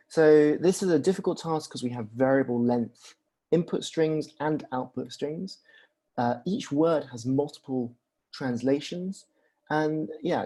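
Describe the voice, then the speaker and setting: Brief: young man, lecturer, easygoing and unscripted, mellow, warm tone, lots of "um". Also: mid-range voice, husky. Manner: relaxed, many ums and restarts, asks rhetorical questions. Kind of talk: conversational university lecture.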